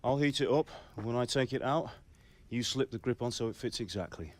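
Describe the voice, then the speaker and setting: A man's voice: medium pitch, a little fast, close to an everyday voice. Actor as a plainspoken fatherly medieval swordsmith. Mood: calm, instructing. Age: middle-aged. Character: steady, fatherly medieval village swordsmith, middle-aged man. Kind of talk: dramatic game cutscene acting. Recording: music behind the voice.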